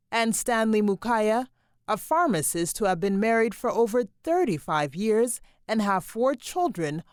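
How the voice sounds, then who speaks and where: A woman narrating a documentary in a scripted, measured storytelling delivery. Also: mid-range voice, no room sound, studio quality.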